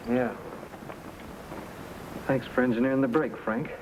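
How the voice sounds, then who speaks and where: A man's voice: mid-range voice, steady tone, a little slow, a little mellow, close to an everyday voice. Man acting a terse young western man, noisy recording. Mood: hard, demanding. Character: young outlaw and his twin brother, hard and insistent. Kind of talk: old-time radio western acting. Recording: noisy old radio recording.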